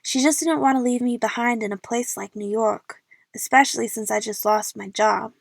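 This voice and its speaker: A woman's voice: on the high side, steady tone, moderate pace, gravelly, no room sound. A young woman playing an eager, chatty movie fan who narrates her adventure in the first person for an audio drama. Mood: gushing, stalling.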